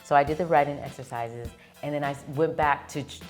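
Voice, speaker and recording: Very deep-voiced woman, animated storyteller. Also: quick pace, faint music behind. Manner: animated storyteller who acts out quoted lines. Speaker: actress and producer, interview guest. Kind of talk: casual interview chat.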